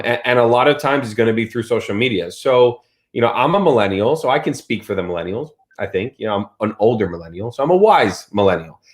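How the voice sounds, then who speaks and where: A man in his thirties in casual chat, telling stories with lots of fillers and lively swings in his voice. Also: mid-range voice. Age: 30s. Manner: animated storyteller, many fillers.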